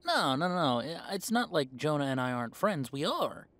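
A man's voice: a little high, big pitch swings, normal speed, a bit bright, no room sound. Man playing an easygoing, chatty young male werewolf, dry sound. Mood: reflective, easygoing. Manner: chatty, hesitant, conversational. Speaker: voice actor. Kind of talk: roleplay audio drama, casual one-sided conversation.

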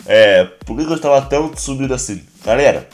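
A Brazilian man with a hoarse, congested voice, chatting casually through a game tutorial as a YouTuber. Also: mid-range voice, fairly quick. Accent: Brazilian Portuguese. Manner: relaxed, chatty, constant filler address to viewers. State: hoarse, stuffy nose and cough. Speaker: gaming YouTuber.

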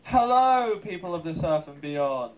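A man making casual announcements as a guest streamer, speaking in a halting, deliberate way. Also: high voice, very animated. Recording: dull lo-fi remote voice audio.

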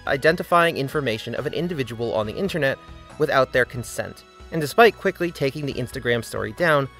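A man reads his scripted video essay in an earnest, critical tone. His voice sits at a middling pitch. Soft music plays faintly underneath.

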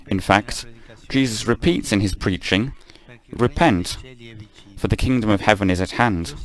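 A man giving a live spoken translation of a homily, measured, with frequent stumbles and self-corrections. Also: lowish voice, low-quality recording.

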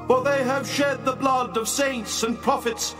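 An actor playing a solemn heavenly angel, intoning in a high, distant, half-sung voice over music. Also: male voice, steady tone, measured pace. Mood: solemn praise. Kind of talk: dramatized scripture reading.

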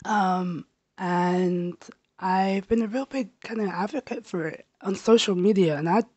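A woman in her thirties, talking to camera as a travel vlogger. She speaks casually, stammers with repeats and restarts, and sounds a bit raspy from getting over a sore throat. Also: mid-range voice, a little slow, darkish tone, no echo. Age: 30s. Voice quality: slightly raspy. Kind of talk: casual personal talk to camera. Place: small bedroom, indoors. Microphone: handheld lapel mic held near the mouth.

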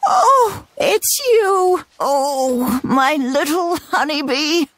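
Slow-talking, lively old country grandma. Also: female voice, high-pitched, no room sound, heavily acted. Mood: delighted, tender. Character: elderly grandmother witch, affectionate, folksy, a little grumbly. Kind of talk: scripted video game acting.

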